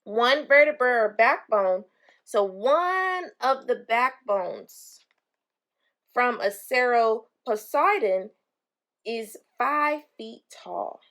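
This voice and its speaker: A woman reading a children's picture book aloud, pausing to explain things simply to young listeners. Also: fairly high pitch, lively intonation, a bit bright. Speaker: read-aloud storyteller for children.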